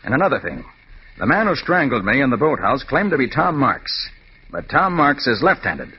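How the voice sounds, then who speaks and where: An American actor playing a confident, shrewd master detective in 1940s radio style, on an old radio recording. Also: male voice, medium pitch, very animated, moderate pace, slightly rough, dull audio. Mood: assured, explaining.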